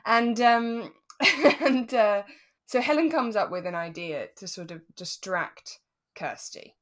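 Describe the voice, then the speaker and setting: A woman's voice: a little high, lively intonation, slow pace. A British woman co-hosting a podcast, chatting casually and with a giggly, self-deprecating manner as she recaps a drama. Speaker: podcast co-host.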